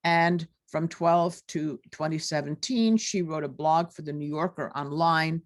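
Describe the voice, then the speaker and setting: A woman professor reads out a guest speaker's biography as a formal introduction. She talks through it in an ordinary speaking voice, often pausing on ums and uhs. The delivery is friendly and plain. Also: low-pitched, steady tone. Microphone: video call.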